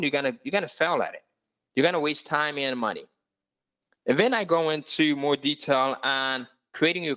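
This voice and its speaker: A man's voice: medium pitch, a bit bright. A man hosting a live sales webinar, pushing viewers to join his course and reading out their comments, often tagging on "all right?". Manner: pushy, upbeat, reads out viewer comments. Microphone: voice-over on screen-share slides.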